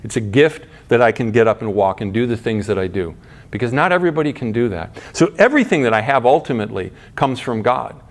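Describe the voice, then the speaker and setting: A middle-aged man giving a sermon in a conversational way, asking rhetorical questions, restarting his sentences and shifting between quiet asides and raised points. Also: mid-range voice, lively intonation, quick pace. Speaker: pastor.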